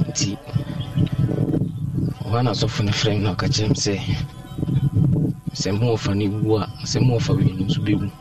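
Low-voiced Ghanaian man calling in. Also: far from the mic, poor audio. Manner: halting, restarting, earnest. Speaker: caller giving a testimony. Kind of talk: radio call-in testimony.

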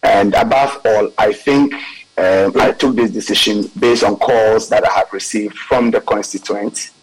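Man, politician, husky, low, measured and hesitant. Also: fairly even intonation, poor audio. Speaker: politician guest.

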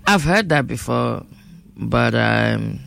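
A Cameroonian singer with a Cameroonian accent, chatting easily as an interview guest and laughing often. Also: male voice, mid-range voice, lively intonation, slow pace, creaky, fairly bright tone. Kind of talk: casual interview chat.

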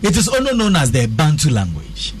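A man's voice: a little high, huge pitch swings, a little fast, slight background noise. A man hosting a weekend talk show, explaining things patiently in casual studio banter. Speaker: show host.

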